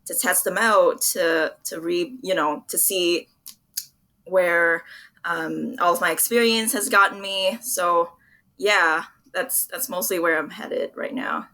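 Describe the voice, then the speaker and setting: A woman's voice: lowish voice, steady tone, takes it slowly, sharp tone. A young woman from Vietnam answering interview questions in an earnest, articulate, conversational way. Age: young adult. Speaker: interview guest, public relations professional.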